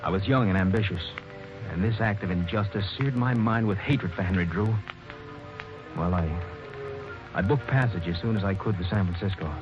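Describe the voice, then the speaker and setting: An actor playing a young, ambitious engineer in a 1940s radio drama, earnest and stammering when pressed, on a dull old broadcast recording. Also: male voice, low voice, moderate pace, music in the background, natural voice. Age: young adult. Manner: stammering and earnest, rising to anger. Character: young ambitious male mining engineer narrating, bitter. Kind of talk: 1940s radio acting and narration.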